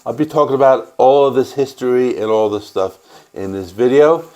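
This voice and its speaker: A man with a stuffy cold, hosting a music channel and calmly explaining an album's history in a casual, matter-of-fact way. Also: mid-range voice, normal speed, rough recording. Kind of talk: casual record review and band history.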